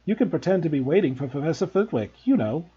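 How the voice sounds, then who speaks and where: A man's voice: a little high, lively intonation, fast talker, husky, lo-fi sound. A man voicing a blunt, joking teenage schoolboy who talks in an offhand way.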